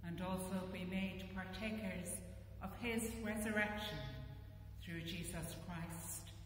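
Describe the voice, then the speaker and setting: Woman priest, solemn and unhurried, recorded at a distance in a reverberant church with some noise. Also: fairly low pitch, steady tone. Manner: measured, solemn, phrase by phrase. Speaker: priest leading a church service. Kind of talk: formal liturgical prayer reading. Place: inside a stone church.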